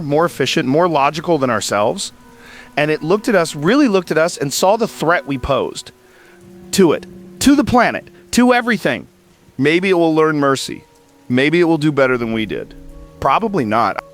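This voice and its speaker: A man plays a lone male survivor of the end of the world, once a radio host. He talks in a weary, resigned, halting way, as in a bleak audio drama. Soft music and rain sit under his voice. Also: mid-range voice, close to an everyday voice. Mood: bitter, grave. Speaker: former show host recording a survivor's log.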